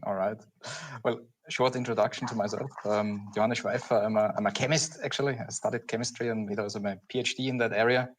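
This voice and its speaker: Austrian man speaking in a halting way. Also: on the low side, fairly even intonation, a little hiss or hum. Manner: halting, searching for words, chuckling. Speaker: panelist. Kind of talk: conference panel discussion.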